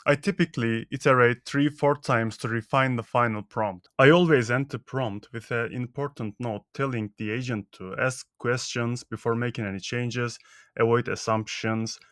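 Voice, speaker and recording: Brisk man, Turkish native, dry close mic. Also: medium pitch, fairly even intonation, fairly bright tone, no room sound. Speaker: software engineer. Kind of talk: scripted tutorial narration.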